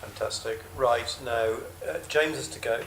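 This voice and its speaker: A man chairing a panel session, briskly handing the floor to speakers and keeping things moving. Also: medium pitch, vocal fry, a bit bright. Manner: brisk, directive. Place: large parliamentary committee room. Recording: echoey room with background noise.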